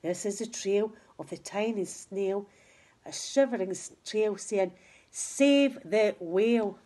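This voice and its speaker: A woman's voice: medium pitch, steady tone, measured pace, dark tone. A woman reading a rhyming picture book aloud to children like a teacher, with emphatic, dramatic phrasing. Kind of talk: expressive children's storytelling in rhyme.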